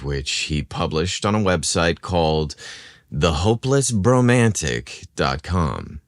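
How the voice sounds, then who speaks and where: A man hosting a podcast and narrating scripted romantic stories in a theatrical, expressive manner. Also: deep voice, no echo, studio quality. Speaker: podcast host and story narrator.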